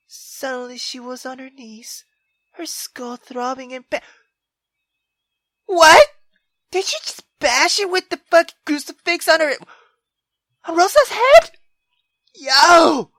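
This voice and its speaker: A woman reading a visual novel aloud as a let's player, in an expressive, dramatic manner. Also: high voice, slow, long pauses, no room sound.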